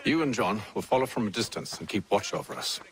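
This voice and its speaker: Low-voiced British gentleman commander. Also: lively intonation. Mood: calm command. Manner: formal, measured, commanding. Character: composed, calculating British gentleman commander of a secret order. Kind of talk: video game dramatic acting. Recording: game audio with music behind.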